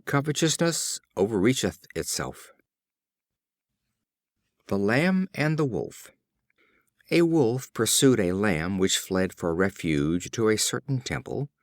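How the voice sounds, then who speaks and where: Expressive low-voiced man reading fables. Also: slow pace, pauses often, dry recording, studio quality. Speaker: audiobook reader. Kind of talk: scripted storybook narration of fables.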